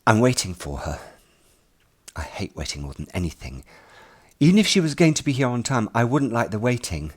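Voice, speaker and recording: A man's voice: mid-range voice, brisk, voice pushed up. A man reading an audiobook as an anxious, earnest ten-year-old schoolboy telling his worries in the first person. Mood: anxious waiting. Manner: earnest, fretful, expressive storytelling. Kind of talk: first-person audiobook narration in present tense.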